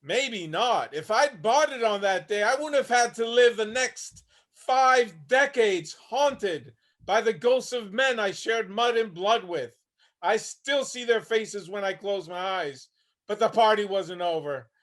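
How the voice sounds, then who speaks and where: High-pitched, world-weary old war veteran, played by a man. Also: unhurried, fairly bright tone. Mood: haunted, weary. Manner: gritty, plainspoken, world-weary storytelling. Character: haunted, homeless older Vietnam veteran, weary and bitter. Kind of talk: dramatic monologue in a staged play reading. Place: online video call reading.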